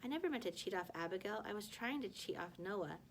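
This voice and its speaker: A woman's voice: medium pitch, lively intonation, a little fast, a little hiss or hum, natural voice. A woman voicing a defensive, exasperated middle-school boy in a children's novel, with a wry, protesting manner.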